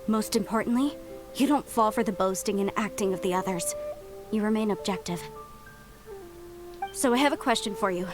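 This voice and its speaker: A woman's voice: medium pitch, normal speed, long pauses, close to an everyday voice. A woman voicing a polite young female scholar in a video game, earnest and precise, with a bookish way of talking. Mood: earnest, probing. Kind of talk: video game dialogue acting. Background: faint game music.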